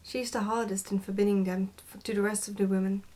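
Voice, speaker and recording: A woman's voice: mid-range voice, little pitch movement, quick pace, mellow, natural voice. A woman reading the part of a bossy, smug goblin father in a storybook, in a pompous explaining manner.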